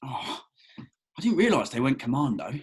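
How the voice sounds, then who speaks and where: A man acts out an eager young man on a date in a screen comedy read-through. His mid-pitched voice is noticeably husky, and he sounds hesitant and earnest as he tries to impress. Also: big pitch swings, moderate pace. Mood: sheepish. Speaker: actor in a script read-through. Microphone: video call.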